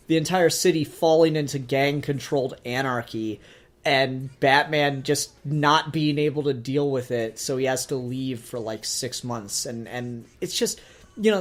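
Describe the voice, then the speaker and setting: A man talking casually as a podcast host, explaining his opinions in an earnest, enthusiastic way. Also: mid-range voice. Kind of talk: casual review discussion.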